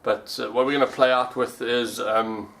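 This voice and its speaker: A man vlogging in a casual, informal way, pausing as he finds his words. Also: mid-range voice, steady tone, gravelly, a bit bright. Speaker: vlogger and amateur pilot. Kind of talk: casual vlog talk.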